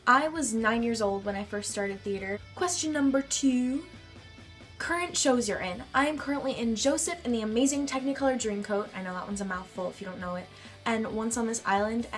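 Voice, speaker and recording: A woman's voice: a little high, a little fast, faint music behind. A teenage girl with a nasal, congested voice from a cold, chatting in a bubbly way in her bedroom. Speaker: vlogger. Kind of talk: casual chat answering a tag.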